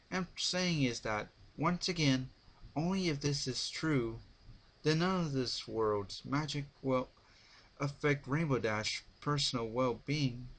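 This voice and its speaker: A man's voice: mid-range voice, fairly bright tone. A man voicing a dignified, caring female pony ruler's formal letter in a dramatic story reading.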